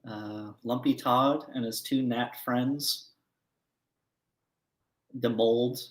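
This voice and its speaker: A man running a tabletop game as game master, describing scenes in a relaxed way with frequent fillers. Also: mid-range voice, extremely slow, roomy sound.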